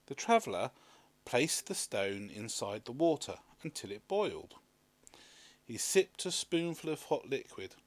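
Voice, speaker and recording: A man narrates a storybook in a mid-pitched voice with very lively intonation. The delivery is expressive and scripted, and the recording is close and dry. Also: dry recording. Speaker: storybook reader.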